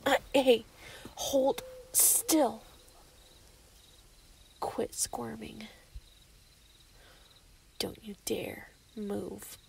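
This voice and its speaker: Woman acting a slow, breathy, obsessive werewolf lover. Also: fairly high pitch, lively intonation, a little mellow, a little hiss or hum, full character voice, poor audio. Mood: seductive, commanding.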